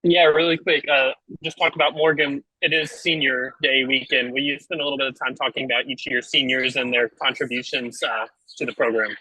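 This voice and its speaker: Husky reporter man, flat and off mic. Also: medium pitch, no room sound, poor audio. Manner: quick, direct question.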